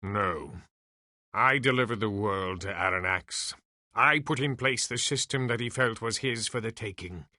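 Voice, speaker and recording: An actor playing an ancient, reclusive elf sage in a video game, sardonic and weary, with theatrical, deliberate delivery. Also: male voice, fairly low pitch, sharp tone, no echo, studio quality. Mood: regretful. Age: older.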